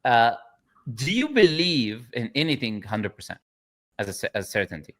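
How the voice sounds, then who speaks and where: A man with a mid-pitched voice hosts a live debate. He argues in a conversational but insistent way, cutting in and restating his points. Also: sing-song, normal speed, a bit bright. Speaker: host, religious debater.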